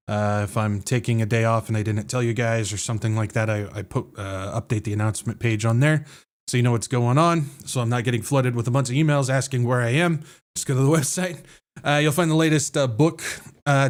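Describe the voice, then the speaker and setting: A man with an American accent hosting a podcast, sounding a little nasal from drainage, talking casually with lots of fillers and self-corrections. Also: mid-range voice. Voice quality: slightly nasal. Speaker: podcast host. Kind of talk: casual livestream talk.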